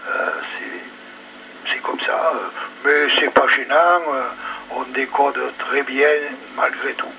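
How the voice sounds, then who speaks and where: Creaky guy on ham radio, polite, bit hesitant, lots of static. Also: medium pitch, steady tone, slow, bright, forceful tone. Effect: two-way radio transmission. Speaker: amateur radio operator. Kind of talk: casual radio sign-off. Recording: muffled, noisy ham radio relay.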